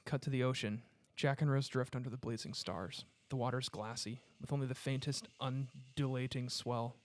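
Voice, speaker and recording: A man's voice: mid-range voice, steady tone, moderate pace. A man hosting and narrating a comedy table read, moving between casual directions and scripted narration. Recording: background noise, occasional clicks.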